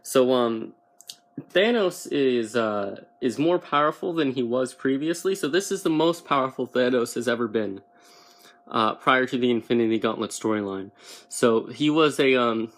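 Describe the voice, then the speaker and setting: A man presents an informal comics explainer in a voice of average pitch. He speaks haltingly, feeling his way toward each phrase as he goes. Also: animated. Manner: halting, searching for words. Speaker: YouTube comics explainer host.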